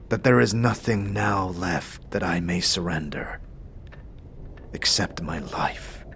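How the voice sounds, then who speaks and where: A man voicing a weary, solemn warrior enslaved by his oath, speaking in grave, formal, halting phrases for an audio drama. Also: deep voice, gravelly, a bit bright, slight background noise, exaggerated voice.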